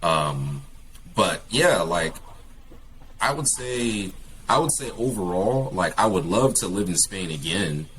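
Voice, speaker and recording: A young man from California with a very low voice talks in a relaxed, halting way, full of ums and restarts. Faint noise sits behind him. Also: low-quality recording. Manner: hesitant, many fillers and restarts. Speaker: guest, English teacher. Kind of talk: casual interview talk.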